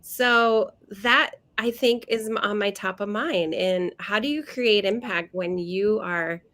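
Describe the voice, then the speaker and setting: A woman co-hosting a casual chat over a video call, nasal from a cold, talking haltingly with many fillers and restarts. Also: medium pitch, fairly bright tone. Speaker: co-host.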